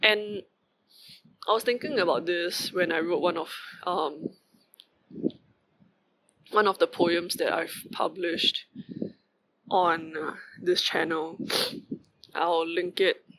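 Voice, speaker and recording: Bright-voiced Singaporean man musing aloud. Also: high voice, takes it slowly, vocal fry, long pauses, a little hiss or hum, low-quality recording. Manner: rambling, many fillers and asides. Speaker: vlogger. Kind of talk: casual reflective monologue.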